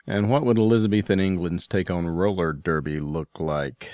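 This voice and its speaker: A man's voice: low-pitched, steady tone, moderate pace, darkish tone, no echo. A man announcing a listener contest in a promotional podcast segment.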